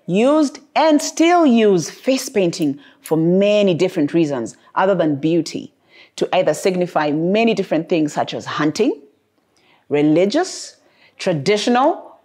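A woman in her thirties with a Kenyan accent, a native Maasai speaker, reading and presenting a children's story in an expressive, deliberate way. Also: fairly low pitch. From East Africa. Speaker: storyteller, host. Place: studio set, small furnished room with bookshelves, indoors.

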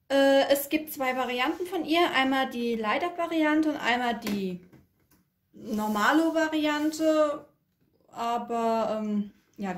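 A woman co-hosting an unboxing, chatting casually and giving product background from inside a room. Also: high-pitched. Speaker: unboxing co-host. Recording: echoey room.

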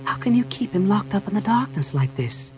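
An earnest noblewoman cleric acted out by a male narrator in a fantasy audiobook. The voice is lifted in pitch and gentle, with sincere, formal phrasing. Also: very animated, a little fast, over music, low-quality recording. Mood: earnest, concerned.

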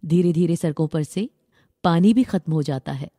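A woman's voice: lowish voice, moderate pace, dark tone, no echo, studio quality. A woman narrating a scripted children's story.

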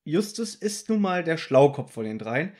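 A man's voice: fairly high pitch, sing-song, normal speed, darkish tone. A man co-hosting a casual podcast, teasing and contradicting as he discusses an audio drama. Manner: teasing, contradicting, acts out quotes. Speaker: podcast co-host.

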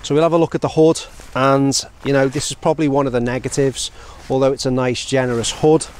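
A British man in his forties talks through a gear review in a measured, conversational manner. His voice sits at a middling pitch, recorded outdoors with faint noise behind him. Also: level tone, a little mellow. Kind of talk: casual product review talk to camera. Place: outdoors in open countryside.

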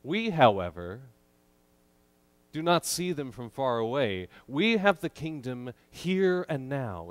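A man in his thirties preaching a sermon from a church pulpit, measured and emphatic with frequent pauses, into a pulpit microphone. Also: medium pitch, dry recording. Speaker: pastor.

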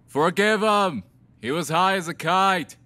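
A man's voice: high voice, measured pace, pushed and bright. A man playing a brash, offhand young half-vampire alchemist in an audio drama. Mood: excited, apologetic. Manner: casual, offhand, sweary.

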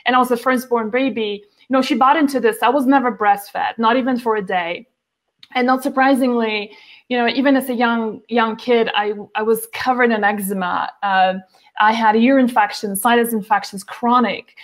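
A woman's voice: a little high, steady tone, moderate pace. A woman in her forties, a guest on a video call interview, talking chattily with many fillers and restarts. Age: 40s. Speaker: guest, nutrition author. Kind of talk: casual interview talk. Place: home, by a window with plants behind.

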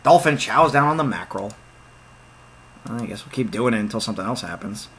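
A man's voice: lowish voice, fairly quick, husky. A young man playing a point-and-click game, reading its text aloud and joking about it. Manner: reads game text aloud, jokey and excitable asides. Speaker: let's play gamer. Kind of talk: casual let's play commentary.

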